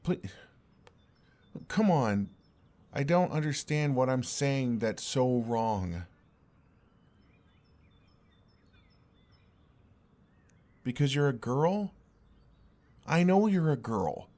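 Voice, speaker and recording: A young man playing an exasperated, earnest and oblivious best friend in an intimate audio roleplay, speaking in a halting, conversational way. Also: mid-range voice, very animated, a little mellow. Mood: frustrated, confused.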